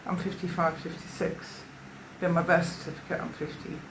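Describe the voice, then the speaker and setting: A woman in her fifties from South Africa tells her story in a hesitant, rambling way, stopping and restarting often. Her voice sits fairly low. The recording is roomy and echoey, with a faint hiss behind it. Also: little pitch movement, a little fast. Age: 50s. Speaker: interviewee giving a witness statement. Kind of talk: personal testimony in conversation. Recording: roomy echo.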